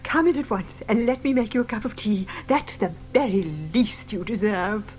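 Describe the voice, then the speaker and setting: A woman's voice: fairly high pitch, expressive, moderate pace, over music, lightly acted. An older woman acting a sweet, gushing lady who dotes on her pet, in a children's audio drama. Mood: gushing, grateful.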